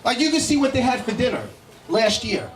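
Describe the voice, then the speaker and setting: Middle-aged male stand-up, New York accent, brash and foul-mouthed, pitched high, heard in an echoey room. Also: expressive, fairly quick, a crowd in the background. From Brooklyn, New York, United States. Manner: brash, profane, conversational. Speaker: stand-up comedian. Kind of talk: live stand-up comedy with crowd banter. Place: indoor comedy show in a cafe. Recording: echoey live room, miked from a distance.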